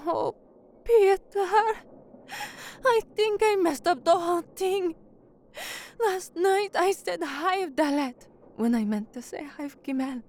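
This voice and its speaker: A woman's voice: very high voice, takes it slowly, darkish tone, full character voice. A woman playing an anxious, weary young trainee newsreader from the slums in an audio drama. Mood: distressed, subdued. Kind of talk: audio drama acting with first-person narration.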